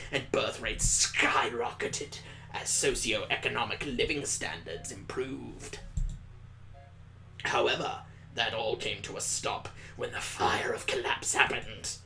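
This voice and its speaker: A man voicing a grave, measured schoolteacher who lectures in a formal, deliberate way in a visual novel. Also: medium pitch, vocal fry, sharp tone, full character voice, low-quality recording.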